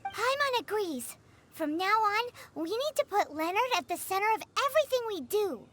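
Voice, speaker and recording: A woman voicing a tiny, excitable, childlike fairy companion in a video game, with a squeaky, perky delivery. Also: normal speed, slight background noise, exaggerated voice. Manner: talks of herself in third person, perky. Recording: music behind the voice.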